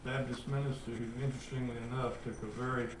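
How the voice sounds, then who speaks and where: An older man from North Georgia gives measured, deliberate memories in an oral history interview. His voice sounds roomy and distant, with a clear hiss in the background. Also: mid-range voice. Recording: echoey room, distant mic, steady hiss.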